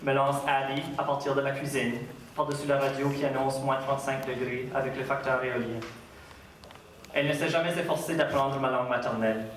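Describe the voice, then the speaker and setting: A man with an Acadian French accent, an author giving a public talk and reading his own writing in a measured, unhurried way. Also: medium pitch, fairly even intonation, a bit bright, slight background noise. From Acadia, Canada. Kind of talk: lecture and literary reading. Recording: very echoey hall, distant mic.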